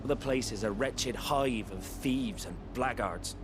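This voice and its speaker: An actor playing a disgruntled traveller in a fantasy game, giving scornful warnings. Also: male voice, mid-range voice, slow pace, noisy background, close to an everyday voice. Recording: music behind the dialogue.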